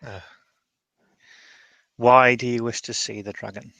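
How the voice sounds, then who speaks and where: A man playing a courteous, dryly doubtful old hermit mage in a tabletop roleplay, musing haltingly in old-fashioned wording. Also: medium pitch, little pitch movement, vocal fry, bright, forceful tone, no echo.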